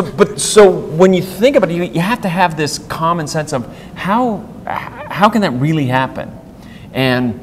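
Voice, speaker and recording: A man in his fifties with a medium-pitched voice answers as an interview guest. He is plain-spoken and explains things through stories and analogies. The recording has a long hall echo and faint noise behind him. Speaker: interview guest, industry executive. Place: hotel conference corridor, indoors. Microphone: clip-on wireless lapel mic.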